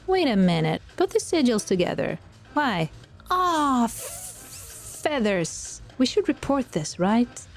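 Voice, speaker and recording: A woman's voice: fairly high pitch, sing-song, slow, soft background music, voice pushed up, heavily acted. A woman voicing a bored, scornful flaming sword that guards a gate, with snarky exclamations, in an audio drama. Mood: exasperated.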